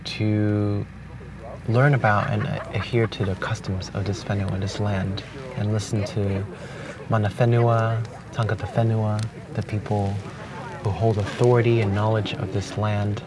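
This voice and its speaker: A young man from Hong Kong with Cantonese as his native language, speaking slowly and thoughtfully with pauses and restarts, recorded outdoors on a beach at dawn. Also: lowish voice, level tone, crowd noise. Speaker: vlogger. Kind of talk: reflective spoken letter. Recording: distant outdoor mic with noise.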